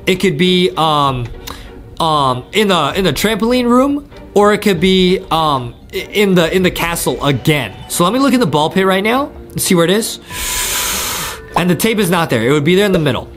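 A young man giving excited, repetitive gameplay commentary to his viewers as a video host. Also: sits high, normal speed. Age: young adult. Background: faint music.

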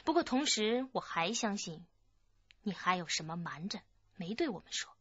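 A woman's voice: medium pitch, big pitch swings, moderate pace, fairly bright tone, husky, no room sound, dull audio. An actress playing a firm, probing female police detective in a radio drama. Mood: stern, probing.

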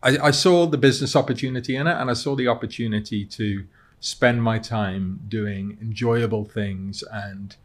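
A British man in his thirties telling his personal story conversationally as a podcast guest, reflective and a little halting. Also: lowish voice. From Cardiff, Wales. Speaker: podcast guest, content creator and model. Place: podcast studio. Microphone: close studio mic on desk stand.

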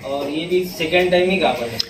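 A man's voice: on the high side, moderate pace. A man selling livestock, giving brief, matter-of-fact answers in casual talk. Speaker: livestock seller. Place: open-sided livestock shed. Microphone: camera a few steps away. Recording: very noisy, echoey shed, off mic.

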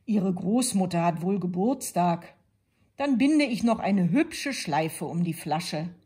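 A woman's voice: fairly low pitch, expressive, slow, warm tone, breathy edge, heavily acted. A woman voicing a polite, approving male wine seller in a storybook.

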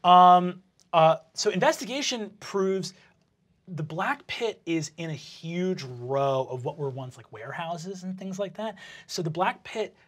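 A man's voice: high voice, animated, a little slow. A young man running a tabletop game, narrating scenes in a casual, descriptive way with slang and swearing. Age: young adult. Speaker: game master. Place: indoor studio set at a game table.

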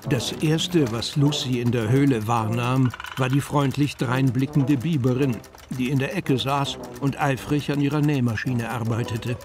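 Male storyteller over clear background music. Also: medium pitch, fairly even intonation, a little slow. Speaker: narrator. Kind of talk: scripted storybook narration. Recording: music under the voice.

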